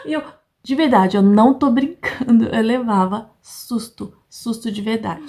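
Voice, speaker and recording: A woman's voice: fairly high pitch, a little mellow. Chatty Brazilian woman vlogger. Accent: Brazilian Portuguese. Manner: chatty, laughs often, keeps addressing viewers. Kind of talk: casual chat to camera.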